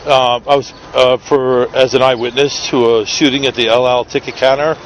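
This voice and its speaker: A man's voice: mid-range voice, little pitch movement, moderate pace, a little hiss or hum. A man with a New York accent, chatting casually and joking as he films a stranger in a city park. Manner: chatty, joking, curious questioner. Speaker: street videographer. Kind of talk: casual street conversation.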